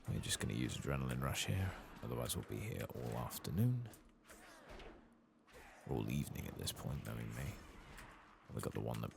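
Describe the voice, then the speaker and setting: A soft-spoken British man doing relaxed ASMR game commentary, hushed and at times whispered. His voice is extremely low and full of heavy fry, muttered casually to himself over faint background noise. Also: a little fast.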